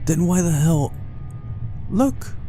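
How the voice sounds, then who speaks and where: A man voicing a restless, bold and excitable little girl in a visual novel game. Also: a little high, slow pace, voice pushed up. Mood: excited, bossy.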